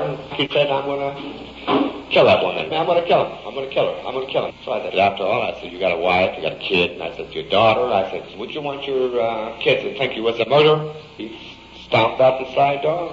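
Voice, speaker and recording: Male witness, mid-pitched and colloquial, unscripted, emphatic, in a dull reverberant field recording. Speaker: witness giving police statement. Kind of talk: unscripted retelling of a conversation. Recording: dull, echoey, faintly noisy field recording.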